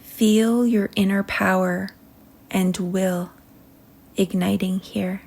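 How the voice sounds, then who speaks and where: A woman leading a guided meditation in a soothing manner, speaking in short phrases with long pauses that trail softly toward a whisper. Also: medium pitch, steady tone, gravelly.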